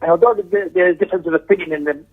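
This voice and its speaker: A man's voice: sits high, wildly swooping pitch, rapid-fire, gravelly, slightly rough. A man teaching a religious lesson in an emphatic manner, explaining a text and repeating phrases for stress. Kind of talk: Islamic lecture, explaining a text with Arabic recitation and quotations. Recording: tinny, narrow phone-like lecture audio.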